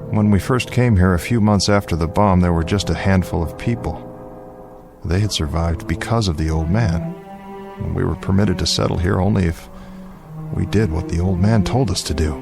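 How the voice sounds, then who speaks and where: A man playing an earnest, stubborn leader of survivors in an audio drama, speaking gravely and insistently. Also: low voice, normal speed, music in the background. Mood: solemn conviction. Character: earnest, stubborn male town leader defending his survivors.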